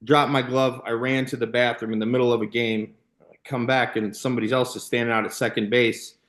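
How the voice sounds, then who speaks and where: A man's voice: medium pitch, level tone, a little fast, a bit bright. American man rambling through casual stories. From Illinois, United States. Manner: rambling, peppered with "you know", "like" and "man". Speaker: podcast guest, former baseball player and youth coach. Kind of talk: casual anecdotal storytelling.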